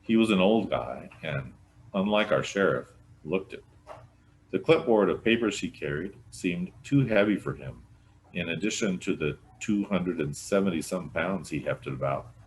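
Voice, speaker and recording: A man with an extremely low voice reads a mystery story aloud. He narrates from a written text as a volunteer reader. The recording has clear background noise. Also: lively intonation, moderate pace, rough recording. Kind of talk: scripted read-aloud of a mystery novel.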